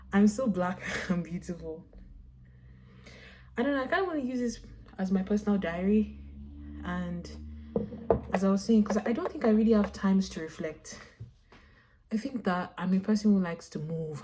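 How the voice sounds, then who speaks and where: A woman's voice: lowish voice, mellow. A young woman talking candidly and self-assuredly in a casual, reflective, rambling personal video diary. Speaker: vlogger and visual artist.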